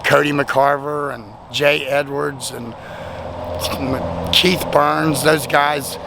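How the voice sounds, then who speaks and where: A man's voice: on the high side, level tone, slow, creaky. A man, his voice nearly gone from talking all weekend, chatting in a rambling, self-deprecating way at a roadside rest stop. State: voice nearly lost, hoarse. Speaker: vlogger. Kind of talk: casual thank-you vlog. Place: outdoors at a highway rest stop, traffic nearby. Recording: outdoor traffic noise.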